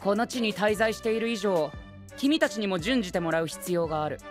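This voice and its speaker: A male actor playing a pompous, rule-obsessed young gentleman knight in an anime audio drama, speaking formally and peppering his lines with English exclamations. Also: sits high, fairly quick, close to an everyday voice. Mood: insistent, pompous. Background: background music.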